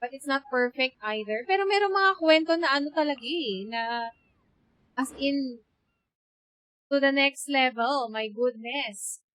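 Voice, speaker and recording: A woman with a Filipino accent giving expressive, casual live game commentary, chatting to her viewers. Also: high voice, measured pace, low-quality recording. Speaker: game streamer. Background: game music.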